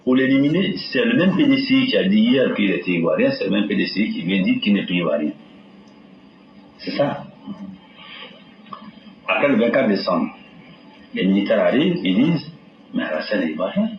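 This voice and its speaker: A man in an interview, animated and acting out what others said. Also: on the low side, moderate pace, long pauses. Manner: animated, acts out quotes. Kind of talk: lively interview. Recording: dull roomy audio with faint noise.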